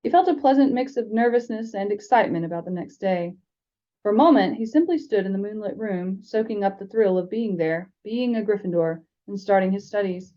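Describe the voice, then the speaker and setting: Woman, American accent, relaxed dark tone, fan reader and co-host, muffled recording. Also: mid-range voice, fairly quick. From United States. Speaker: podcast co-host and audiobook reader. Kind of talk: story reading and casual book chat.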